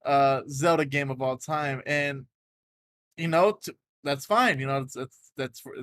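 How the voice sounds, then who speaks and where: A man co-hosting a casual gaming podcast, loud and animated, tripping over restarts as he makes his points. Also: medium pitch, unhurried, dry recording, clean studio sound. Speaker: podcast co-host. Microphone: desk mic, podcast setup.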